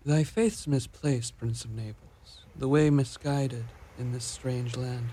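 An actor playing an enigmatic spirit servant in a radio drama, with measured, archaic diction. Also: male voice, mid-range voice, noisy background, close to an everyday voice. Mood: cool, unmoved. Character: airy male sea-island spirit, enigmatic servant of a magician. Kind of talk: mock-Shakespearean radio drama acting.

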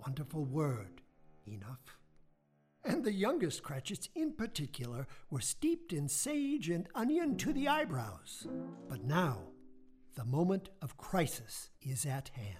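A man's voice: fairly high pitch, huge pitch swings, measured pace, a little mellow, slightly rough, faint music behind. A man narrating a radio play in a wry storytelling manner. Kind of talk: theatrical narration.

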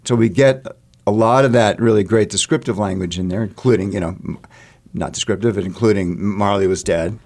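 Man, fairly low voice, theater interviewee, conversational, exploratory, frequent rephrasing. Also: a little fast, a little mellow. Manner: thinks aloud, often rephrasing mid-sentence. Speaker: theater artist interviewed about a stage production.

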